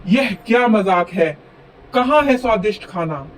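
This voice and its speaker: A man playing a blustering, greedy king in an animated fable, pompous and commanding, recorded at a distance with faint noise. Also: sits high, measured pace. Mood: angry.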